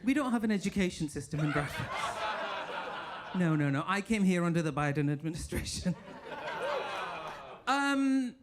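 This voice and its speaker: A man from England with a northern English accent doing a stand-up set in a comedy club, mock-posh and deadpan with sudden high squeals. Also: normal speed, long pauses. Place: comedy club stage with a laughing audience. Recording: live room with crowd noise. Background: audience laughter and applause.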